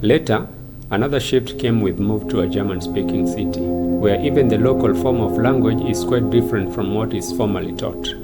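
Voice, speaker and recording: A man giving measured, deliberate scripted narration for a documentary. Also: lowish voice. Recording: loud music under the voice.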